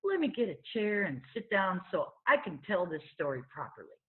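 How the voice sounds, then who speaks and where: A woman's voice: fairly low pitch, very animated, moderate pace, husky, narrow, tinny audio. A woman voicing a tiny, easily startled old lady librarian in a children's storybook, with a flustered, proper manner. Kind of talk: children's storybook read-aloud.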